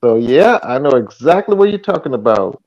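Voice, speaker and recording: A man's voice: mid-range voice, very animated, brisk, a little mellow, muffled recording. A man, hosting a casual live chat stream in an easygoing, folksy way. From Virginia, USA.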